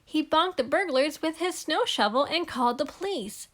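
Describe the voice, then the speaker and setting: Higher-voiced woman reading a children's story. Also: animated, moderate pace, fairly bright tone. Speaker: storybook reader. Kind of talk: expressive children's read-aloud narration.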